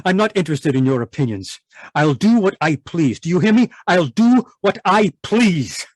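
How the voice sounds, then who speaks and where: A man's voice: high voice, sing-song, normal speed, a little mellow. An actor playing a guilt-ridden, domineering male chemist in an old-time radio horror play, swinging between haunted muttering and clipped, imperious speech. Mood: irritable, imperious.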